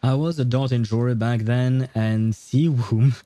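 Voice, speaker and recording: A man's voice: medium pitch, fairly even intonation, a little slow, warm tone. A man hosting a gaming livestream, talking casually and thinking aloud in a rambling way. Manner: rambling, thinking aloud, chuckles at own jokes. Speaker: livestream host.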